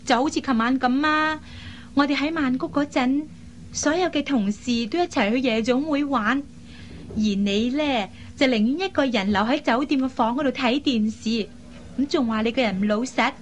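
An actress plays a lively young woman in a radio drama. Her voice sits high and she talks in a chatty, coaxing way, headstrong and full of expressive particles. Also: normal speed, slight background noise, lightly acted. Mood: earnest, coaxing. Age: young adult. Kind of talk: Cantonese radio drama acting.

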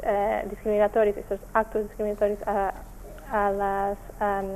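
A woman from Portugal with a Portuguese accent, a native Portuguese speaker, giving careful, halting interview answers as an NGO representative. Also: mid-range voice, completely flat delivery, darkish tone, slight background noise, poor audio. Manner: halting, careful, searching for words. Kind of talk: explanatory radio interview answers.

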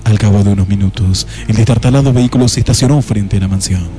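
Low-voiced male radio drama narrator. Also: fast, mellow. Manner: mock-dramatic.

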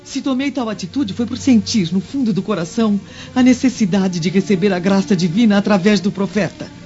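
A woman acting a gentle Roman noblewoman in a radio drama, humble and devout. Her voice is soft-edged and mellow, solemn and pleading, in a dull recording with faint music behind it. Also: medium pitch, fairly quick, close to an everyday voice. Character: humble, devout Roman senator's wife, gentle but firm.

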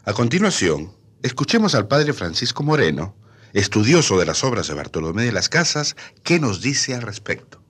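A man's voice: medium pitch, very animated, normal speed, a bit bright, breathy edge. A man co-hosting a radio programme in a scripted conversational style. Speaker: radio co-host.

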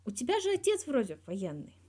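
Woman voicing a probing male interviewer, mellow. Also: a little high, wildly swooping pitch, fairly quick, breathy edge, voice pushed up, exaggerated voice. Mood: curious, direct. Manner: direct, pressing questions. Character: persistent, probing adult male journalist interviewer.

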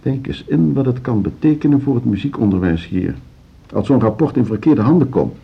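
An actor playing an earnest, indignant lover of classical music in a radio drama, halting yet emphatic. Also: male voice, mid-range voice, lively intonation, creaky, slightly rough, full character voice. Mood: indignant, pleading. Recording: dull radio play recording.

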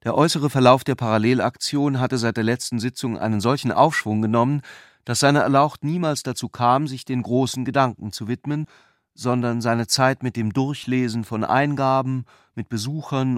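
This is a male narrator in a literary radio play. He reads prepared prose at a medium pitch, with a dry, echo-free studio sound. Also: moderate pace.

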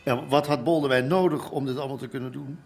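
Radio drama: male actor as sly gangland associate, medium pitch, insistent, needling, natural delivery, slight background noise. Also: fairly even intonation, fast talker, darkish tone, close to an everyday voice. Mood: sly, teasing. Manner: coaxing, teasing, insistent.

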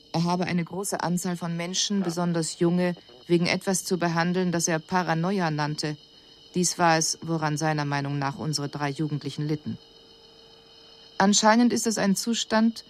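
An actress playing an analytical alien envoy, giving a formal report in a measured, detached manner. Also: female voice, lowish voice, slight background noise, lightly acted. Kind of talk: formal scripted report in radio drama.

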